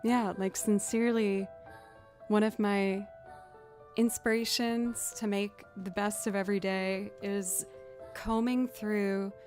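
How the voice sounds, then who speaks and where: A young woman with a low voice, chatting casually and rambling to her live stream audience. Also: flat delivery, slow pace, darkish tone, soft background music. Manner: chatty, rambling, self-deprecating. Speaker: streamer and musician.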